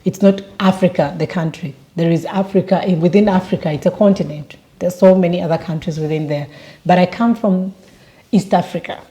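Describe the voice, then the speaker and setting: A woman's voice: on the low side, brisk, dark tone. A young woman from Uganda chatting casually in a travel vlog, with lots of fillers and restarts. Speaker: vlogger.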